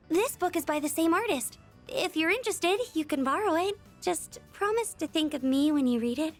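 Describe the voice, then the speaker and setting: A woman voicing a shy, clingy, love-struck teenage schoolgirl in a video game, hesitant in manner. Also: very high-pitched. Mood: sweet, friendly. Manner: hesitant, pleading, soft-hearted.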